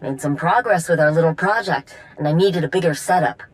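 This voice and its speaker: An actress playing a friendly, determined droid tinkerer in a video game, talking in a casual, reassuring way. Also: female voice, low voice, fairly quick, dry recording, close to an everyday voice, rough recording. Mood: friendly, upbeat.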